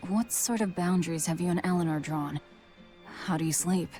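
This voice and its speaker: A woman's voice: on the low side, normal speed, warm tone, soft background music, natural voice. An actress playing a tough, guarded young woman in a video game, blunt and commanding with a dry manner. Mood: probing.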